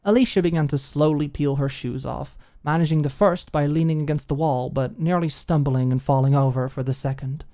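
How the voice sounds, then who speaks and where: A woman reading a scripted story as an audiobook narrator, in an expressive storytelling style. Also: sits low, brisk, hardly any pauses.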